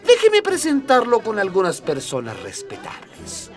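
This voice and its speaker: A pompous old radio-play minister and man of science, courtly and formal in every phrase. His pitch roams dramatically up and down. He sounds a little distant from the mic, with soft music underneath. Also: huge pitch swings, heavily acted. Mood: cautioning, courtly. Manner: formal, ceremonious, slow-spoken, throat-clearing.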